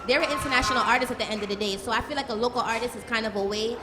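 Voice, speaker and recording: Teen female soca artist from Brooklyn, rapid casual panel speech, higher voice, music behind her. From Brooklyn, New York. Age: teens, seventeen.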